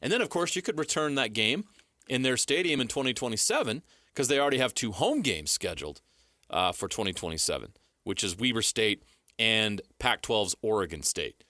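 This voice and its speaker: Animated male sports radio co-host. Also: on the low side, brisk, dry recording, clean studio sound. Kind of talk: casual sports talk, analysis. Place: radio studio.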